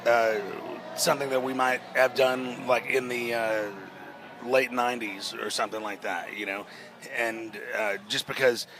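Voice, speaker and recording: A man in a band answering interview questions at a festival, his voice naturally hoarse, talking in a halting way with many restarts and fillers. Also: medium pitch, flat delivery, crowd noise. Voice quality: hoarse-sounding. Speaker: rock band member. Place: outdoors at a music festival.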